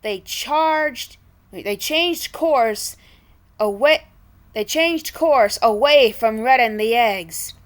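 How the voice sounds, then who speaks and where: Female storyteller for children, slightly high voice, slow expressive delivery.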